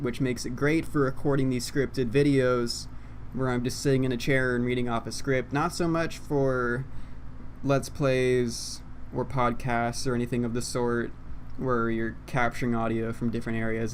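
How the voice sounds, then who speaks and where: A man's voice: medium pitch, little pitch movement, normal speed. A young man talking casually into a condenser mic at his computer, rambling in a self-deprecating way with lots of fillers. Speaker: YouTuber. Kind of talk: unscripted rambling monologue. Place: home room, seated at computer. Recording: faint background noise.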